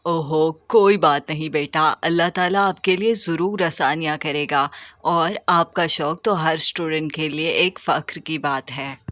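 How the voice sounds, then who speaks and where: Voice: medium pitch, unhurried, bright, forceful tone, dry recording, studio quality. An actor playing a kindly, encouraging male schoolteacher in a cartoon story, with an instructive manner. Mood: reassuring.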